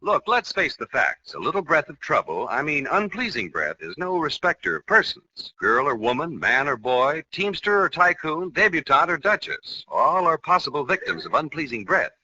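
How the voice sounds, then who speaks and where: A man announcing on 1940s radio, making a polished, persuasive and emphatic advertising pitch. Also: big pitch swings, moderate pace, few pauses, sharp tone. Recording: faintly noisy, narrow old radio recording.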